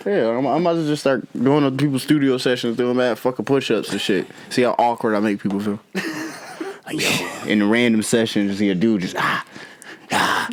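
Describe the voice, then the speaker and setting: A man's voice: medium pitch, fairly quick. A man in his late twenties, a podcast guest and rapper, telling stories in casual chat, cursing freely and acting out scenes as he goes. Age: 20s. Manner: animated storyteller, swears freely, acts out scenes.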